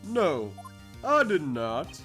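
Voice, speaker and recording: A smug pompous ceo man, high flamboyant swoopy voice, flowery talk, game dub. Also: huge pitch swings, very slow, sharp tone, soft background music, heavily acted. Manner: pompous, grandiloquent. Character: pompous, vain male corporate president with flowery vocabulary. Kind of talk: video game fandub voice acting.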